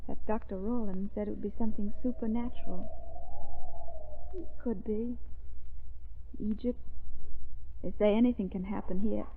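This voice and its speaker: An actress playing a brave but frightened young woman in a 1930s radio thriller, with halting, dramatic delivery. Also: medium pitch, steady tone, close to an everyday voice. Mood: uneasy. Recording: muffled, noisy 1930s radio recording.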